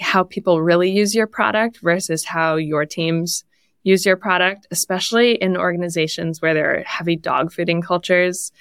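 A woman's voice: fairly low pitch, clear, resonant tone, no echo, studio quality. A woman speaking conversationally as an interview guest, giving practical advice as a product leader and coach. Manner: laughs lightly while talking.

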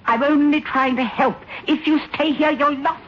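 A woman's voice: high-pitched, steady tone, normal speed, close to an everyday voice. An actress playing an anxious, guilt-ridden woman in 1960s radio suspense style, earnest, urgent and imploring. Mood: urgent pleading. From South Africa. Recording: muffled, hissy old radio recording.

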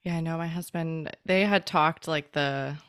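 A woman's voice: low voice, steady tone, unhurried, fairly bright tone, clean tone, no echo, clean studio sound. A woman hosting her podcast in a chatty, easygoing way, laughing easily. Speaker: podcast host. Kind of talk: casual interview chat.

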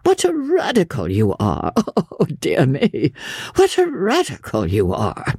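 A man voicing a languid, peevish gentleman invalid in an audiobook, with a fussy, affectedly fragile, sarcastic drawl. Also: on the high side, huge pitch swings, unhurried, slightly rough, no echo, studio quality, pitched above the performer's own voice, full character voice. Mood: playful, mocking. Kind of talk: dramatised audiobook character voice.

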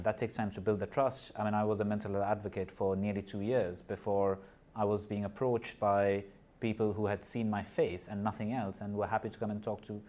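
A man who grew up in Nepal and India speaks as a guest in a podcast interview. His voice sits slightly low. He talks earnestly and often stops to restart a phrase or repeat a word as he gathers his thoughts. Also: darkish tone. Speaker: guest, corporate partner and mental health advocate.

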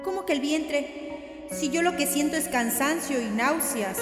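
An actress playing a soft, plaintive young rural Mexican woman in an audio drama, with a Mexican accent and emotive delivery. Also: sits high, expressive, measured pace, music in the background. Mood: warm, confiding.

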